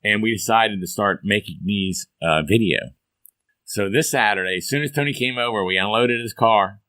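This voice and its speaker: A man hosting a casual podcast, a little hoarse, chatty and upbeat in a folksy way. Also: on the low side, moderate pace, bright, forceful tone, dry recording. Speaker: podcast host. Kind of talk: casual chat.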